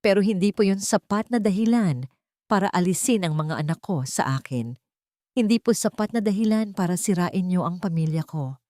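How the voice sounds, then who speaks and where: Wronged, steadfast young mother played by a woman storyteller, emotional and respectful, slightly low, dry close recording. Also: lively intonation, moderate pace, a little mellow, no room sound, natural voice. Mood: pleading, hurt. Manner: earnest, polite, emotional pleading. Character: wronged single mother, pleading yet steadfast.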